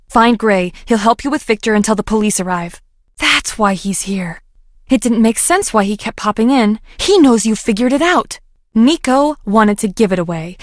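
A woman's voice: mid-range voice, no room sound, natural voice. Earnest, folksy young woman scientist, dry recording game actress. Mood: urgent, then defensive. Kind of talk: video game voice acting.

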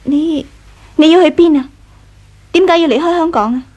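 A woman's voice: high voice, moderate pace, darkish tone, close to an everyday voice. A woman playing a gracious, composed young wife of a rich household in a Cantonese radio drama, with a Hong Kong accent. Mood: gentle, concerned.

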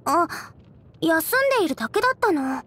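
Anime game girl, teenage cook, extremely high voice, cheerful, eager, bubbly, chatty, expressive. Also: moderate pace, exaggerated voice. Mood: pouty, puzzled. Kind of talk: animated anime game character acting.

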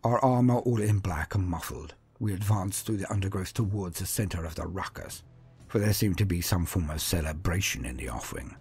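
A man's voice: low-pitched, moderate pace, a little mellow, breathy edge, soft background music, exaggerated voice. A man voicing a grim armoured space marine who recounts battle in a solemn, martial, disdainful storytelling manner. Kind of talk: first-person dramatic storytelling.

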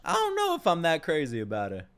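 A man's voice: a little high, huge pitch swings, fast talker. A man streaming live reactions to game videos, joking and swearing in slangy, exclamatory bursts. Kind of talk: live reaction commentary, crude slangy banter. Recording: music playing behind the voice. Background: game trailer music.

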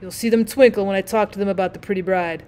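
A woman's voice: medium pitch, steady tone, fast talker, warm tone, close to an everyday voice. A woman voicing a small, cheerful, simple fire balloon in a storybook, speaking earnestly and with good spirits. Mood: joyful, earnest.

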